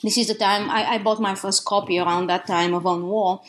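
A woman from Bulgaria with a Bulgarian accent, talking as a guest author in a podcast interview, pausing often with restarts and ums. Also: medium pitch, fairly even intonation. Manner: halting, many restarts and ums.

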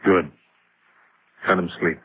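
An actor playing a grave, husky-voiced man secretly bringing terrible news in a vintage radio horror drama. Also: low voice, fairly even intonation, takes it slowly, exaggerated voice. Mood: grave, shaken. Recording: dull, muffled old radio recording.

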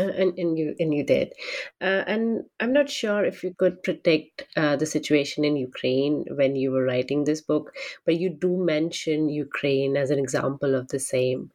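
Low-voiced woman interviewer. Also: darkish tone. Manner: hesitant, filler-led questions. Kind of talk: interview questions.

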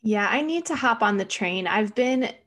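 A woman guest on a podcast chatting casually, wry and self-mocking, quick to laugh. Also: mid-range voice, expressive, fairly bright tone. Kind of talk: casual chat.

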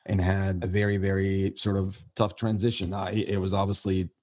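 Deep husky man, earnest and reflective. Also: level tone, normal speed, darkish tone. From Syria. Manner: earnest, reflective, rambling with many restarts. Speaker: podcast host being interviewed. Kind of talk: conversational podcast interview.

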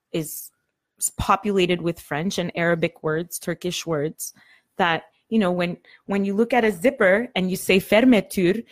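Chatty middle-aged woman, easy laugh. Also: medium pitch. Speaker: group moderator on a talk show. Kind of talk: casual group chat.

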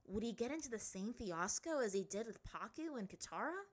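A woman voicing a mild, curious, easygoing young boy in a story reading, in a gentle questioning way. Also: fairly high pitch, sing-song, a little fast, pitched above the performer's own voice. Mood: worried.

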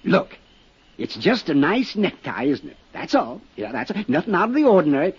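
An actor in a 1950s radio drama playing an excitable, giggly man who talks eagerly and insistently. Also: fairly high pitch, normal speed. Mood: teasing, excited. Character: excitable giggling man showing off a novelty necktie. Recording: dull, noisy 1950s radio recording.

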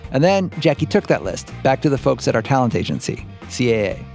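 A man's voice: mid-range voice, big pitch swings, brisk, gravelly, a little mellow, faint music behind. An American man hosting and narrating a podcast in a scripted but conversational storytelling style.

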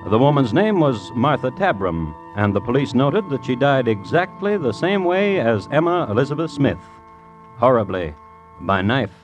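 A man hosting and narrating a vintage radio crime series in a formal, measured, dryly wry deadpan. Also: medium pitch, soft background music. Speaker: host and narrator.

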